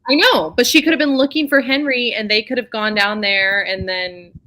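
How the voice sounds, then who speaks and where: A woman's voice: fairly high pitch, fairly even intonation, normal speed, bright, forceful tone. A woman chatting casually and informally about romance novels as a co-host of a book club show.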